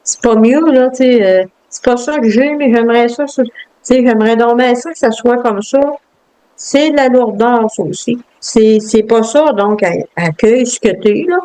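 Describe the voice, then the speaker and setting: A woman with a Quebec French accent, reflective and halting, with many fillers and restarts as she talks. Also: a little high, mellow, smooth, poor audio. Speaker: guest. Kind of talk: casual interview talk.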